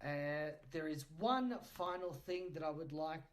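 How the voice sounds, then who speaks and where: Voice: medium pitch, expressive, unhurried. Playful, teasing podcast host chatting casually.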